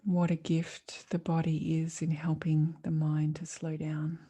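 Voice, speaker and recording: Slow, low-voiced woman guiding meditation. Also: slightly rough. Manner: calm, soothing, measured delivery with long pauses. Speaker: meditation teacher. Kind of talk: guided meditation.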